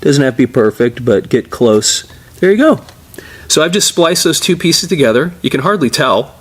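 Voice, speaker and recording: A man's voice: mid-range voice, animated, normal speed, breathy edge. A middle-aged man presenting a casual hands-on tutorial as a repair technician, explaining informally step by step while he works.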